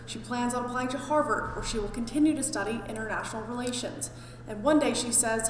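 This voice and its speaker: A woman's voice: fairly high pitch, slight background noise, rough recording. Young female reporter narrating a news feature. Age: young adult. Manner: calm, deliberate narration. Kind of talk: news package voice-over.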